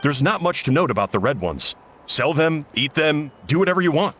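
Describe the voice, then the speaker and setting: A jovial uncle cook from a video game, chatty and a little dramatic. His voice rings bright and swings lively up and down, over faint game noise. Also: on the high side, a little fast. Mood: hearty. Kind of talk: game voice acting.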